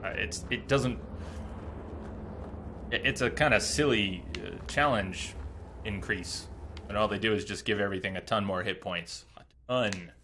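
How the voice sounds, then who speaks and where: A man's voice: lowish voice, moderate pace, pauses often, sharp tone, slight background noise. A man giving casual live gameplay commentary as a streamer, rambling through explanations in a joking way. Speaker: game streamer. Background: game music.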